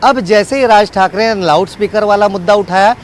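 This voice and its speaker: A man's voice: sits high, a little fast, a little hiss or hum. A man giving a wordy, unscripted live news report as a field reporter, often repeating set phrases.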